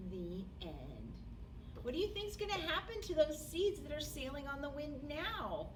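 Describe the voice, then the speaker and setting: A woman reading a picture book aloud to young children as a storytime host, with deliberate, expressive phrasing and dramatic pauses. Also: high-pitched. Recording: distant microphone with faint background noise.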